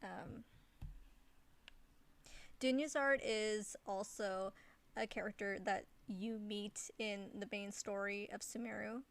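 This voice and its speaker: A woman chatting casually while streaming a game, giggly and halting as she restarts her thoughts. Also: mid-range voice, steady tone, gravelly. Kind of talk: casual chat while playing a video game. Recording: faint background noise.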